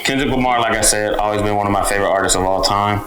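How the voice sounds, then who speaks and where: A man in his twenties from Texas, a rapper speaking earnestly in quick bursts about his favorite artists. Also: lowish voice, fairly even intonation, a bit bright, roomy sound, poor audio. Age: 20s. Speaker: rapper in a music group. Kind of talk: casual self-introduction interview.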